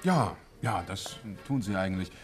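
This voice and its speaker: A man's voice: sits low, big pitch swings, normal speed, faint music behind. An actor playing an affable, deferential businessman in a 1950s German radio play, chatty and a little hesitant. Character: affable, eager, polite businessman making small talk.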